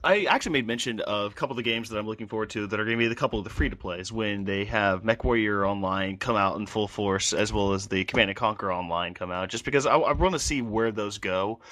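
A man's voice: fairly low pitch, a bit bright. A man talking casually and informally as one of the hosts of a podcast. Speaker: podcast host. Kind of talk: casual chat.

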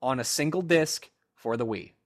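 A man's voice: medium pitch, normal speed. A young man co-hosting a casual gaming podcast, rambling and joking with friends, often restarting his sentences. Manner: rambling, self-interrupting, joking. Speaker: podcast co-host. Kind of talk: casual chat among friends.

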